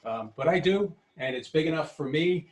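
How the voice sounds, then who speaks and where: A man's voice: fairly high pitch, expressive, normal speed. A man in his sixties with a New York accent, giving a casual, unscripted workshop tour in a hesitant, wryly self-deprecating way. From Brooklyn, New York City. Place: home basement-like workshop, indoors. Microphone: webcam, later handheld phone.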